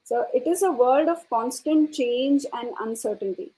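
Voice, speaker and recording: A woman with a fairly high voice speaks as an educator on a panel. Her delivery is formal, like a prepared answer. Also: measured pace, dark tone, poor audio.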